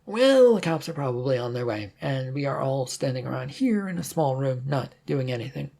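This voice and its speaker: A narrator playing an uneasy, impatient detective in a space whodunit story. The husky, creaky voice sits a little above plain narration and sounds pointed and urgent. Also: medium pitch, expressive, moderate pace, warm tone.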